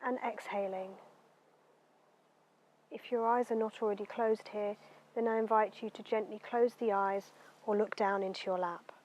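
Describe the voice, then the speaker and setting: A woman guiding a meditation in a gentle, unhurried, soothing way, letting her phrases end softly. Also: mid-range voice, steady tone, muffled recording, slight background noise. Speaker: meditation guide. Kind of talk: guided meditation narration.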